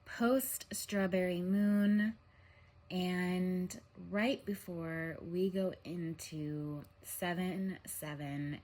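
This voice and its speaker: Slow-talking woman with a head cold. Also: on the low side, darkish tone. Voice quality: scratchy throat. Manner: slow, soothing, with frequent um fillers. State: head cold, nasal congestion. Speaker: tarot reader. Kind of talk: spiritual reading monologue.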